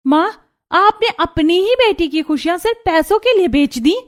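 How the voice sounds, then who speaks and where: A woman's voice: extremely high pitch, lively intonation, moderate pace. A woman voicing an accusing young bride, the daughter of the house, in a dramatized family story. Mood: hurt.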